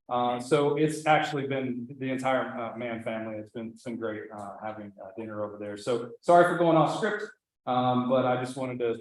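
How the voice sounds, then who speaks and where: A man, an associate dean, reading out an award introduction at a banquet ceremony, with friendly asides and small restarts. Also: mid-range voice. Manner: reads a long citation, with asides and restarts. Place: large banquet hall. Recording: very echoey hall through PA.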